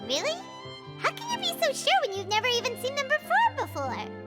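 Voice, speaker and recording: A tiny, excitable companion sprite in a game, her voice shrill and extremely high, leaping wildly up and down. A bright, tinkling game score plays loudly behind her. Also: a little fast, loud music behind the voice, full character voice. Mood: doubtful. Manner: squeaky, incredulous.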